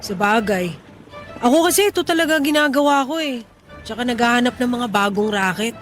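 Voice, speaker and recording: A woman's voice: on the high side, expressive, fairly quick, faint music behind, natural voice. A woman playing a blunt, joking tomboyish young mechanic in a radio drama. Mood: bantering.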